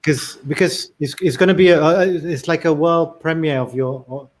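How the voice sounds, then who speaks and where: A man hosts a relaxed conversation, curious and halting, often repeating words before he finishes a question. His voice sits somewhat high for a man, with faint background noise behind him. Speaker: host. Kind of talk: casual interview chat.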